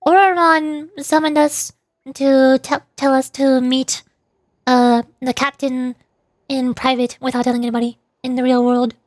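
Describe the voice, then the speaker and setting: A woman with a high voice talks casually while streaming a game. She rambles and hesitates, repeating words as she finds her way, and the sound is dry with almost no room in it. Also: little pitch movement, a little slow, no echo. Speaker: VTuber streamer. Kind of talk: casual game stream chat and live reading of dialogue.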